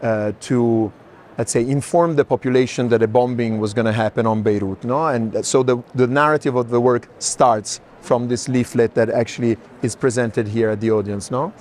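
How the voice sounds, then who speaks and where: A man's voice: medium pitch, steady tone, moderate pace, darkish tone. A man hosting and moderating an informal walking conversation, checking in with his listeners as he explains. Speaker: host and curator. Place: art fair, walking tour. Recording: background noise of a busy hall.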